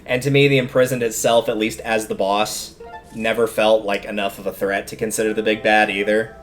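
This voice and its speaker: A man's voice: on the low side, flat delivery, a little fast, faint music behind. A man giving casual, rambling livestream commentary on a video game, often stopping to explain things.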